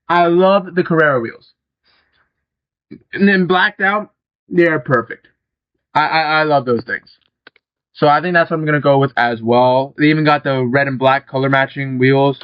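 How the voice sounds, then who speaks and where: A young man giving relaxed, rambling gameplay commentary, swearing casually as he talks. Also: medium pitch, dry recording, muffled recording. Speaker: gaming channel host.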